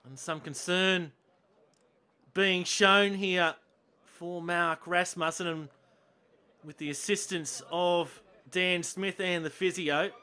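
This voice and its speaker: A man with an Australian accent commentating football highlights, with excited bursts at chances and goals. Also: slow, smooth. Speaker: match commentator.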